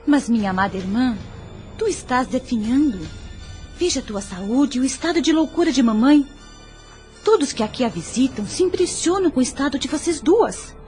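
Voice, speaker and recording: A woman's voice: sits high, animated, moderate pace, darkish tone, soft background music. A woman playing a worried, devoted young sister in an audio drama, speaking earnestly and formally.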